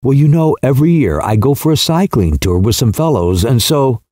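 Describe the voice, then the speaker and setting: A man's voice: medium pitch, animated, normal speed, darkish tone, no echo, studio quality, close to an everyday voice. A man voicing an awkward, self-conscious male teacher who answers hesitantly.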